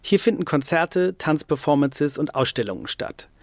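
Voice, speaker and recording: A male reporter with a fairly high voice narrates a radio portrait from a script. He describes scenes calmly and plainly. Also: moderate pace, no room sound.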